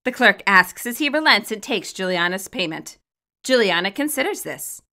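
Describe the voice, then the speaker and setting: A woman reading scripted commentary and story narration, expressive and wry as the author of the tale. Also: medium pitch, normal speed. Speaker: fan fiction author and narrator.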